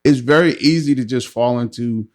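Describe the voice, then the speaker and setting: A male podcast host who also teaches singing talks casually at a medium pitch. He affirms his guest and thinks aloud as he speaks. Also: moderate pace. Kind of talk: casual interview conversation.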